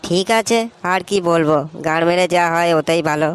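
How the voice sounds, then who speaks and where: A man's voice: fairly high pitch, fairly even intonation, normal speed, smooth, soft background music, natural voice. A man voicing a broke, grumbling, booze-loving young slacker in a comic cartoon, dismissive and slangy, with a West Bengal Bengali accent.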